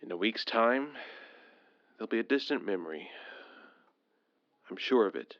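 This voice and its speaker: An actor playing a shaken husband in an audio drama, stubbornly insisting in halting phrases that all is fine. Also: male voice, lowish voice, expressive, fairly bright tone, no room sound, muffled recording. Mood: anxious, insistent. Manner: halting, breathy sighs, insistent. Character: shaken but stubbornly dismissive husband, alien invasion survivor. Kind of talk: modern audio drama acting.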